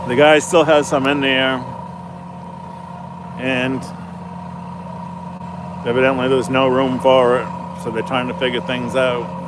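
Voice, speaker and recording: A man's voice: mid-range voice, little pitch movement, slow, long pauses. A man with a Maine accent chatting casually while filming on a snowy driveway outdoors, recorded on a handheld phone. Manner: offhand, chatty commentary. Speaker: vlogger filming. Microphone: handheld phone camera. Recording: loud engine noise outdoors. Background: running truck engine.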